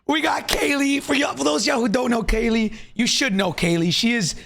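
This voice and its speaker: A young man hosting a casual podcast, excitable and hyped, tripping over his words as he introduces guests. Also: very high-pitched, fairly quick, gravelly. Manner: excitable, hype introductions, frequent restarts. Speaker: podcast host.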